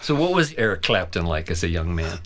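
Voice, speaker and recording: A man's voice: low voice, sing-song, fairly quick, fairly bright tone. A man hosting an interview, asking friendly questions and adding short interjections. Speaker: interview host. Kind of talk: casual interview.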